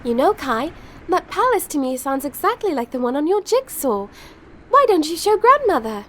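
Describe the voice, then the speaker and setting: Woman playing a high-pitched, lively young girl. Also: moderate pace. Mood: friendly. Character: bright, friendly young girl. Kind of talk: audio drama acting.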